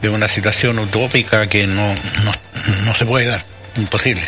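A man's voice: fairly low pitch, fairly even intonation, moderate pace, over music. A man with a Chilean accent hosting a radio talk show, speaking hesitantly with many fillers and agreeable remarks. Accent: Chilean Spanish.

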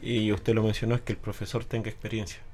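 A man's voice: fairly low pitch, flat delivery, fast, darkish tone, a little hiss or hum. A man, a municipal sports official giving brief, businesslike answers. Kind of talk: interview answers.

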